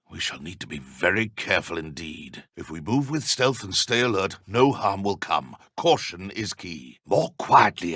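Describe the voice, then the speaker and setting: Menacing video game dark wizard, British, haughty, theatrical, bright forceful tone, husky edge, formal diction. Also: male voice, low-pitched, no room sound, studio quality, heavily acted. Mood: wary, conspiratorial.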